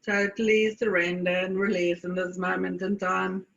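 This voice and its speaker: A woman from South Africa leading a guided meditation, speaking in deliberate phrases with long pauses. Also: lowish voice, level tone, vocal fry, fairly bright tone, lo-fi sound.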